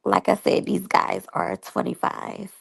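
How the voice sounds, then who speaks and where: A woman's voice: medium pitch, very animated, unhurried, vocal fry, husky. A woman in her thirties reviewing a reality show and sharing personal stories in a candid, chatty way, talking straight to her viewers. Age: 30s. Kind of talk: casual review and personal storytelling.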